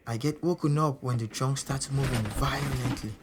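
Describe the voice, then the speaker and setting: Clear background noise and ambience surround an actor as a frightened stowaway. He is a man with a medium-pitched voice, narrating an audio drama in short, simple sentences as if living it now. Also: fairly even intonation, normal speed, natural voice. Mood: tense, bleak. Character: frightened stowaway telling his story in present tense. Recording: noisy ambience behind the voice.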